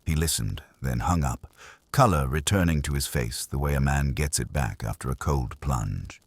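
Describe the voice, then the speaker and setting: Very deep male detective story narrator. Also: normal speed, slightly rough, no echo. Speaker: audiobook narrator. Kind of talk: scripted first-person detective story narration.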